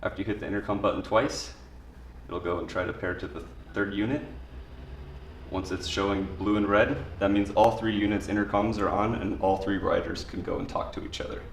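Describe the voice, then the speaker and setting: A man's voice: fairly low pitch, steady tone, fairly quick, creaky. A man giving an informal, step-by-step spoken product tutorial in a plain way. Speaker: product demonstrator. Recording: echoey room, distant mic, faint noise.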